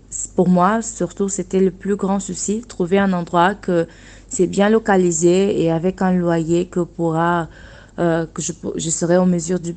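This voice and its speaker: Young Portuguese-speaking woman, earnest and halting. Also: fairly low pitch, dark tone. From Angola. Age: young adult. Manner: earnest, searching for words with restarts. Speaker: guest, dressmaker running her own workshop. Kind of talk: radio interview testimony.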